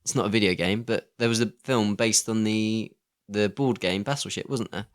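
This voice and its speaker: A man with a fairly low voice co-hosts a casual film podcast. He talks conversationally, putting questions to his partner and offering his own views in everyday language. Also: normal speed. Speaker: podcast co-host. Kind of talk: casual film discussion chat.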